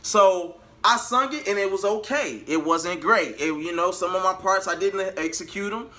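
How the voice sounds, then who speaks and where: A man's voice: high voice, normal speed, bright, forceful tone. A man telling a personal story to his viewers in a casual, emphatic way, repeating phrases for emphasis. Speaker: vlogger and R&B singer.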